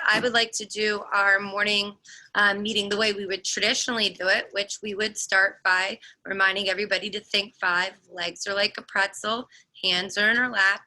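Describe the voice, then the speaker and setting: Bright-voiced woman teaching young children. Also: medium pitch, poor audio. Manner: simple step-by-step explaining to children; instructive, addressing a group of small children. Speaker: preschool teacher. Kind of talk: virtual morning class for young children.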